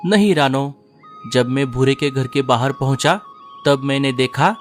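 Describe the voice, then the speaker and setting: A man voicing a caring male sparrow husband who speaks gently and reassuringly in a cartoon. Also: medium pitch, a little slow, soft background music, natural voice.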